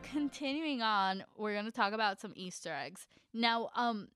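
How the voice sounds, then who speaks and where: A woman's voice: mid-range voice, expressive, normal speed, fairly bright tone, smooth, dry recording, studio quality, soft background music. A woman co-hosting a casual podcast, eagerly leading each segment and laughing easily. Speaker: podcast co-host. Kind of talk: casual chat.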